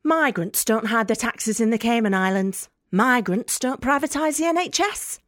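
An actress playing a defiant, bitter young student with a Northern English accent in an audio drama monologue, blunt and sweary. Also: female voice, on the high side, animated, moderate pace, a bit bright, no echo, studio quality. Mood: scornful, impassioned. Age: young adult. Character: defiant, bitter young British woman student, sharp-tongued and sardonic.

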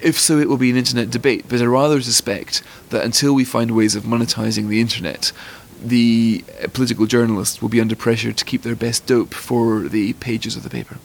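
A man with a posh Scottish accent, a magazine editor giving measured, articulate interview answers. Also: mid-range voice, level tone, creaky. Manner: articulate, fluent, considered.

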